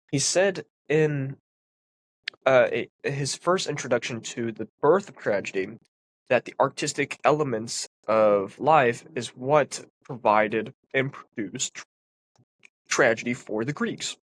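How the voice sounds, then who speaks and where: A man's voice: mid-range voice, slow, a little hiss or hum, poor audio. Congested man explaining philosophy. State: congested, sniffly. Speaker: philosophy commentator. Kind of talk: reading and analysis of a philosophy text.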